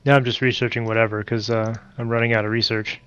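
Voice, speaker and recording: A man's voice: medium pitch, level tone, fast, a bit bright, clean tone, dull audio. Stuffed-up man muttering over a game. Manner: thinking aloud, muttering to himself. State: stuffed up from allergies. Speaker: game streamer. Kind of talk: casual multiplayer gameplay commentary.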